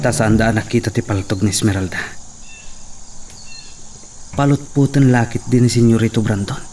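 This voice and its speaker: An actor plays a scheming, guilt-ridden man in an Ilocano radio drama, theatrical and intense. His accent is Ilocano and his pitch is average. He sounds slightly away from the mic, with faint insect noise around him. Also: normal speed, recorded from a distance, lightly acted. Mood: scheming, uneasy.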